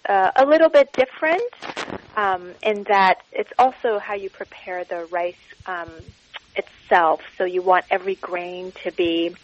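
A woman's voice: medium pitch, no room sound. Woman from iran chatting about her cooking on the radio, lots of ums, dry dull sound. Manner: warm, enthusiastic, many ums. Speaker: cookbook author guest. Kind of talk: radio interview.

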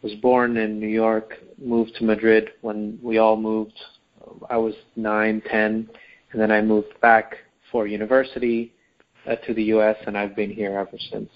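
Male guest from Spain, relaxed and quick, laughs easily, muffled lo-fi sound. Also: fairly low pitch. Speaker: guest, company founder. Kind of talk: casual interview chat. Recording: dull lo-fi recording.

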